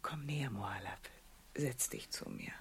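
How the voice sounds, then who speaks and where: Seductive secretive mistress, very breathy, intimate murmur, swooping pitch, classic radio play. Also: female voice, expressive, moderate pace, exaggerated voice. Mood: seductive, hushed. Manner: breathy, intimate, often sinking into whispers. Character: veiled, seductive, secretive mistress, alluring and evasive. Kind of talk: classic German radio drama acting.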